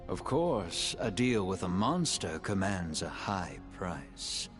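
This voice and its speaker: Suave, very slow-talking dark elf. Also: male voice, deep voice, sing-song, over music, exaggerated voice. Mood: ominous, amused. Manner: theatrical, drawn-out, mock-courteous delivery. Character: suave, theatrical male dark elf, slyly amused. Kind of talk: scripted video game cutscene acting.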